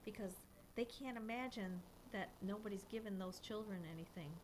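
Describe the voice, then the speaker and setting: Older woman radio host; warm-voiced banter, mid pitch, noisy recording. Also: normal speed. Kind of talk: casual faith talk on radio.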